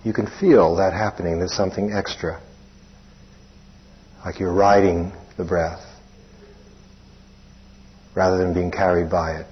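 A man with a deep, husky voice guides a meditation. He speaks in short, plain phrases and leaves long pauses between them. The recording is dull and muffled. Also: fairly even intonation. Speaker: meditation teacher. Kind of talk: guided meditation instruction, spoken live.

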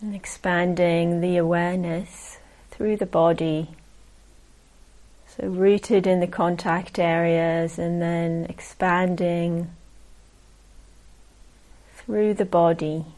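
A woman meditation teacher leading a guided meditation in a gentle, unhurried manner, leaving long pauses. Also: fairly low pitch, steady tone, rough recording.

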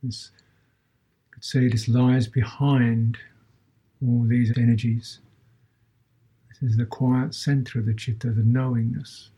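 Slow, husky man guiding meditation. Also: medium pitch, flat delivery, mellow. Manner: measured, with long pauses between short phrases. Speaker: Buddhist meditation teacher. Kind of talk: guided meditation talk.

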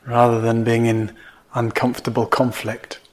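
A man teaching meditation, guiding gently and in a measured way, with pauses between short phrases. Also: mid-range voice, monotone. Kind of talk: guided meditation.

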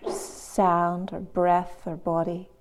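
A woman teaching guided meditation, gently and in measured phrases with long pauses. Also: lowish voice, completely flat delivery, slight background noise.

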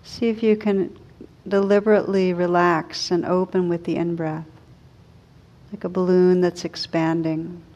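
A woman meditation teacher leading a guided meditation in a gentle, unhurried, soothing way with long pauses. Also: lowish voice, level tone, lo-fi sound.

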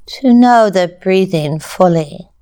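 A woman teaching a guided meditation in a calm, measured way, with long pauses between short phrases. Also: medium pitch.

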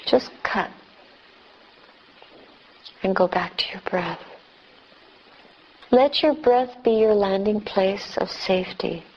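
Female meditation guide, unhurried pace, mid-range pitch, gentle measured delivery with pauses. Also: dull audio. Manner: gentle, measured, with long pauses between short phrases. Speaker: meditation teacher, Buddhist nun.

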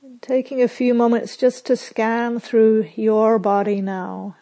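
A woman meditation teacher leading a guided meditation in a soothing, measured way, with pauses and phrases that end softly. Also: a little high, flat delivery.

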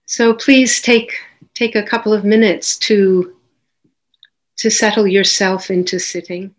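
A woman leading a guided meditation as a teacher, giving gentle, unhurried instructions with long pauses between phrases. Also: mid-range voice, steady tone.

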